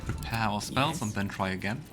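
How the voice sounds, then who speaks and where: A man's voice: fairly low pitch, normal speed, over loud music. A man hosting a let's play, giving casual game commentary with wry, joking asides. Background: game ambience.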